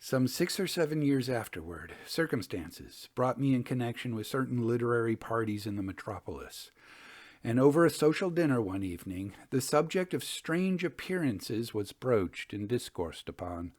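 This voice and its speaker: A man hosting and reading Victorian ghost stories in a measured, expressive storytelling manner. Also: mid-range voice. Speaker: podcast host and reader of ghost stories.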